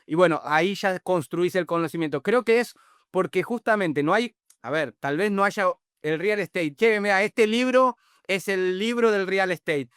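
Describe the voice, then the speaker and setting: High-voiced man hosting a podcast chat. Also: normal speed, fairly bright tone, clear, resonant tone, no room sound, studio quality. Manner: rambling, mixes in Portuguese words. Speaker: podcast host. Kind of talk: casual interview chat.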